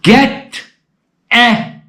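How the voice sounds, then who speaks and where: A man from Italy with an Italian accent, giving emotional, impassioned commentary as a football fan vlogger and bursting into shouted appeals, his voice wearing thin. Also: very slow. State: losing his voice.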